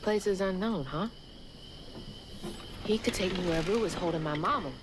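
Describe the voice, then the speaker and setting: An actress playing a young, determined and compassionate Southern heroine in a video game, under loud music. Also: female voice, fairly low pitch, moderate pace, noisy background, lightly acted. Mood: intrigued.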